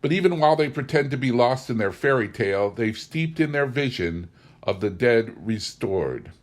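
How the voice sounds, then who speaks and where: A man's voice: medium pitch, animated, normal speed, close to an everyday voice. A man voicing an anxious, intense young governess in an audiobook, with insistent, fervent and emphatic speech. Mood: fervent insistence.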